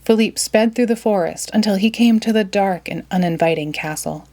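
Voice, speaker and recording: Expressive woman reading a storybook aloud. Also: medium pitch, normal speed. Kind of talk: children's storybook read-aloud.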